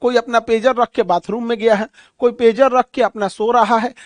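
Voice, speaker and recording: A man's voice: very high-pitched, steady tone, moderate pace, no room sound. A man with a Bihari accent giving an explanatory current affairs lecture like a teacher, emphatic, repeating key phrases and posing questions he answers himself.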